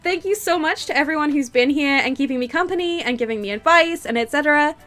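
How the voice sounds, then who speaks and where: Woman game streamer, high voice, stuffy from a cold, excitable chat, faint music behind. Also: fast. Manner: excitable, laughs easily, bursts into high delight. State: stuffy nose and sore throat from a cold. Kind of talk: casual live-stream chat, reading game text aloud.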